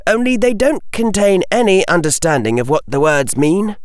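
A man's voice: sits high, moderate pace, clear, resonant tone, no echo, pitched above the performer's own voice, natural voice. A man voicing a precocious, wry boy wizard in an audiobook, wordy and articulate. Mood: curious, wry. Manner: articulate, wordy, dry-witted.

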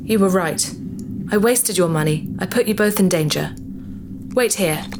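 A woman's voice: fairly low pitch, moderate pace, noisy background, close to an everyday voice. A woman playing an earnest, loyal robot companion with an innocent, literal manner in a sci-fi audio drama. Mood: resolute, remorseful.

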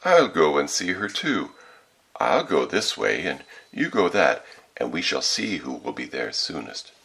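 A man voicing a sly, hungry storybook wolf who softens his voice to coax and deceive. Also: a bass voice, sing-song, vocal fry, husky, full character voice. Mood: scheming. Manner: coaxing, drawn-out, put-on softened voice. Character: sly hungry male wolf posing as sweet grandmother.

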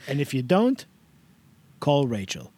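A man voicing a sentimental, rambling older male host character who adds a stray "a" before words, with a very lively, up-and-down pitch. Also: exaggerated voice. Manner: slips a stray "a" before words, broken grammar, over-the-top gushing. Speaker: podcast host. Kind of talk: comedic in-character podcast bit.

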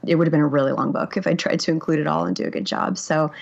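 A woman's voice: low voice, steady tone, very fast, creaky, darkish tone. A woman in her forties, a memoir author, talking candidly in an interview, reflective and hesitant with many fillers and restarts. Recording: dull, lo-fi audio.

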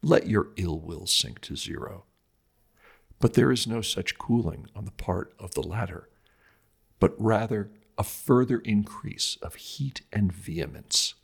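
A man's voice: on the low side, expressive, unhurried, creaky, husky. A man reading a scripted theological text aloud as host, in a solemn, formal, oratorical manner with emphatic phrasing.

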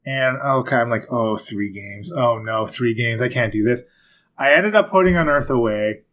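Casting note: male podcast co-host, chatty and profane, loose storyteller, mid pitch. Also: moderate pace, a bit bright. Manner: rambling and profane, laughs often, self-mocking asides. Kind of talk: casual podcast chat, board game talk.

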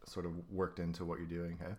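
A man's voice: low voice, completely flat delivery, brisk. A man co-hosting an interview, chatting casually with lots of "like" fillers. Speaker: co-host interviewer.

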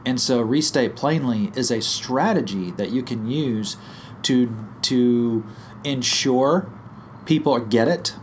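A man with a hoarse, croaky voice from a head cold, explaining a teaching idea in a relaxed podcast chat, thinking aloud with fillers and restarts. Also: medium pitch, a little hiss or hum. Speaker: guest teacher on small group ministry.

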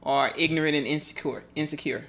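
A woman's voice: sits low, fairly even intonation, a little fast, a bit bright. A woman co-hosting a casual podcast conversation, asking reflective questions and often restarting her sentences. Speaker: podcast co-host.

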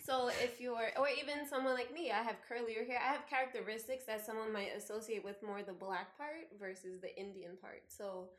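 A woman's voice: a little high, brisk, hardly any pauses. A woman from the Bronx talking reflectively in a casual conversation, with a lot of "like" fillers. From the Bronx, New York. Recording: roomy echo.

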